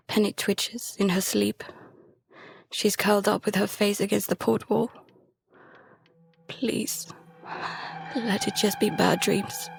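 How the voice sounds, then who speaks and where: A woman playing a frightened, guilt-ridden daughter in an audio drama, narrating and speaking in an anxious, halting, hushed way. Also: mid-range voice, vocal fry, husky, heavily acted. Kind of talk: audio drama, first-person present-tense narration and dialogue. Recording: music behind the voice.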